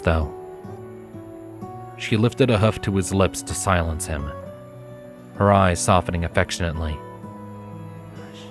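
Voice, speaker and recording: A man reading fiction aloud as an expressive narrator of a scripted story. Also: sits low.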